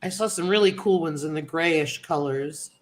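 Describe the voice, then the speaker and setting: A woman's voice: low-pitched, moderate pace. Chatty woman greeting viewers. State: sniffly from a cold. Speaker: livestream host. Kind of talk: casual live chat greeting viewers.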